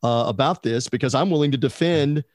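A man's voice: mid-range voice, fairly quick, darkish tone, no room sound, studio quality. A man hosting a casual sports talk show, making his points emphatically and repeating them for stress. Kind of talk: casual podcast debate.

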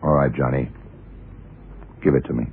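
Very deep-voiced, laconic blind detective. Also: male voice, fairly even intonation, normal speed, creaky. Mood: cool, probing. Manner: clipped, laconic, commanding. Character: cool, wry blind male private detective. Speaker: radio drama actor playing the lead. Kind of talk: 1970s radio drama acting. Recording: narrow, hissy old radio recording.

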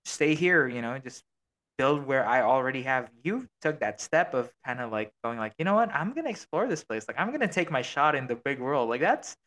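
A man's voice: medium pitch, big pitch swings, a little fast, a bit bright. A man hosting a casual podcast, rambling with many fillers and restarts. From Filipino American, living abroad. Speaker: podcast host.

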